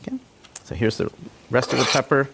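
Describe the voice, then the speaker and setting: A man's voice: medium pitch, expressive, fairly quick, gravelly. A man showing a dish in his home kitchen over a video call, chatty and encouraging as he cooks and tastes. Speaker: home cook presenter. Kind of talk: casual cooking demonstration. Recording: faint background noise.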